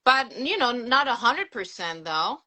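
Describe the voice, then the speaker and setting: Chatty French woman, bright voice. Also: on the high side, unhurried. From France. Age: 40s. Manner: relaxed and chatty, many fillers. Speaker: guest, sneaker collector and teacher. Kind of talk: casual interview.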